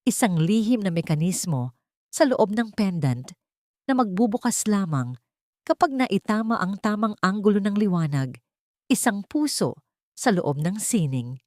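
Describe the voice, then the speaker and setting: A woman's voice: mid-range voice, expressive, a little slow, darkish tone, no room sound, studio quality. A woman with a Filipino accent reading scripted, dramatic narration for an audio drama.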